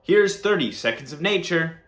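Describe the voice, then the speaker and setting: A young man hosting a small show, reading segment intros and interview questions in a halting way with many fillers. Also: fairly high pitch, expressive. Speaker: host. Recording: roomy sound with music underneath.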